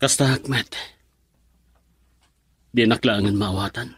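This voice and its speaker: An actor plays a subdued, unsure boyfriend in a radio drama. He speaks in short, hesitant phrases with an Ilocano accent and a husky, mid-pitched voice. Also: takes it slowly, fairly bright tone, exaggerated voice. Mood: downcast, defensive.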